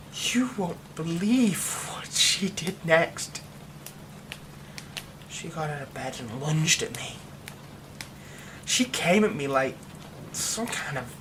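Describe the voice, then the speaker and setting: A man's voice: high-pitched, noisy background, exaggerated voice. A man playing a haunted young werewolf. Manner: hushed, halting, often whispered. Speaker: ASMR roleplay performer. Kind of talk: ASMR horror roleplay.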